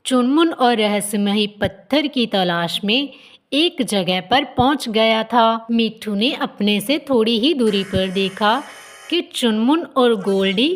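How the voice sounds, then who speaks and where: A woman's voice: fairly high pitch, lively intonation, slow. A woman narrating a scripted children's story.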